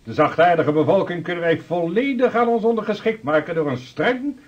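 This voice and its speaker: Pompous merchant in dull radio sound. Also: male voice, high-pitched, animated, brisk. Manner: pompous, declamatory. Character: pompous, pious, greedy sixteenth-century merchant. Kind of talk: Dutch radio drama acting.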